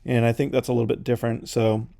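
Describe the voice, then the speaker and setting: A man's voice: medium pitch, level tone, fast, vocal fry, mellow, no echo, studio quality. A man in his forties casually rambling as a podcast host while he paints, drifting into side notes with lots of fillers. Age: 40s.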